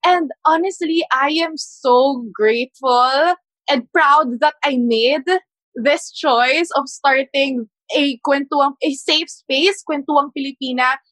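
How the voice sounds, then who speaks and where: A woman's voice: high voice, slow pace, a bit bright, dry recording, rough recording. A Filipino woman with a Filipino accent, hosting a podcast interview and switching between English and Tagalog. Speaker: podcast host. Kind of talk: casual interview.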